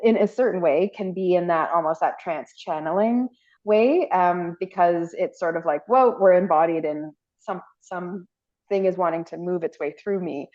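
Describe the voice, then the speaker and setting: A woman hosting a casual conversation, her voice lowish and heard through dull, lo-fi audio. She tells anecdotes with many fillers and breaks into laughter often. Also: normal speed, darkish tone. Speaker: podcast host.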